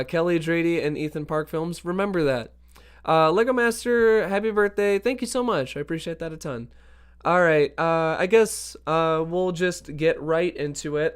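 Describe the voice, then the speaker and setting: A man hosting a birthday livestream in a casual, upbeat way, greeting chat viewers by name, his voice hoarse from allergies. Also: on the high side. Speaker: livestream host.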